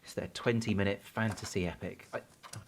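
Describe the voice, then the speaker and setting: A man's voice: on the low side, steady tone, fairly quick, slight background noise, lightly acted. An actor playing an awkward, self-deprecating, buttoned-up single man with nerdy tastes in a naturalistic audio drama, hesitant and prone to stammering. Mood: fussy, defensive.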